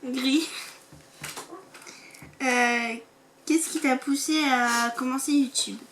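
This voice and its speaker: A woman's voice: sits high, fairly even intonation, takes it slowly, fairly bright tone, far from the mic. A teenage girl from France asking the questions in a casual, giggly chat. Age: teens. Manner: giggly, asks questions plainly. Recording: off-mic with faint noise.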